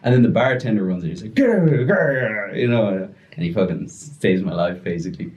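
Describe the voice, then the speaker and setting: A young man telling a story casually on a podcast, animated and breaking into voices as he retells it. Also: fairly low pitch, normal speed. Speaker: podcast guest.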